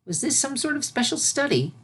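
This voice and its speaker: A woman's voice: high-pitched, big pitch swings, fairly quick. A woman reading a fantasy story aloud as its narrator, in scripted narration. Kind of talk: scripted narration of fan fiction.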